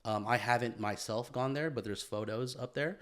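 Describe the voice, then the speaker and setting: A man's voice: mid-range voice, moderate pace, a bit bright. A man co-hosting a casual podcast chat, rambling with lots of fillers and restarts, speeding up when he gets going. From San Francisco Bay Area. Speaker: podcast co-host, photographer.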